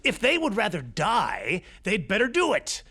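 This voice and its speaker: A man's voice: high voice, huge pitch swings, measured pace, exaggerated voice. An actor playing a cold-hearted, miserly old businessman in an audio drama, curt and contemptuous. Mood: cold, scornful. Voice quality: creaky, sharp. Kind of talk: audio theatre acting.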